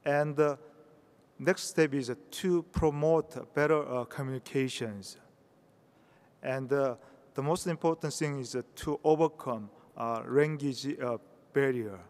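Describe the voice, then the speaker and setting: A man from South Korea with a Korean accent giving a conference talk in English, halting often with hesitations. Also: medium pitch, a little mellow. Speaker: conference speaker. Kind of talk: conference presentation. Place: conference hall. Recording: reverberant hall.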